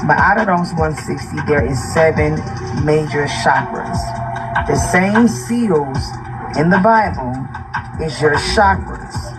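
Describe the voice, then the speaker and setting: A man's voice: a little high, takes it slowly, poor audio. A man giving a spiritual lecture as an esoteric teacher. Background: loud music.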